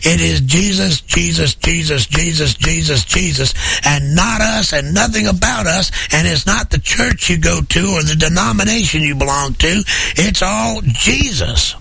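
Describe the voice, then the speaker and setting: A man preaching fervently on a program while hoarse with a head cold, building his points through emphatic, rising repetition. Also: fairly high pitch, measured pace, pushed and bright, no room sound, rough recording. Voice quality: husky.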